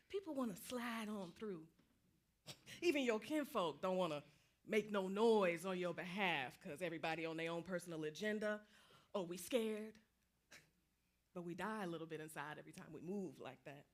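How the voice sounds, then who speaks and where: A woman's voice: medium pitch, normal speed, lightly acted. A woman plays the restless ghost of a woman soldier in a stage drama. She is taunting and insistent, speaking in a streetwise vernacular and refusing to be forgotten.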